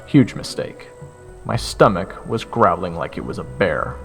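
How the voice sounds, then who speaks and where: Gravelly, sardonic young male narrator. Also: deep voice, unhurried, long reverb tail, faint music behind. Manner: sardonic, expressive. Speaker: narrator and lead voice actor. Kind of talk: first-person audio drama narration.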